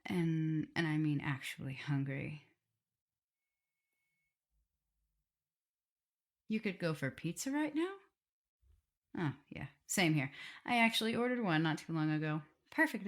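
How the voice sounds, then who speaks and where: Woman acting a low, creaky, tender vampire mistress. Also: expressive. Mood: caring, playful. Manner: hesitant, halting, breaking into whispers and soft laughs. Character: tender, nervous, guilt-ridden female vampire mistress in love. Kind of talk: intimate ASMR roleplay to the listener.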